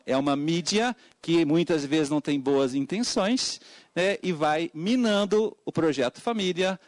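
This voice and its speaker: A middle-aged man from Portugal with a European Portuguese accent, an educator giving formal testimony at a public hearing, with frequent hesitant fillers and sudden emphatic rises. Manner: many hesitant fillers, emphatic pitch jumps.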